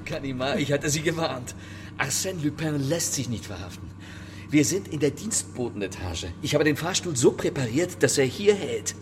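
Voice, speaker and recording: An actor playing a suave, mocking French gentleman thief in a German radio crime comedy, theatrical and ironically polite. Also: fairly high pitch, very animated, normal speed, slight background noise. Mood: triumphant.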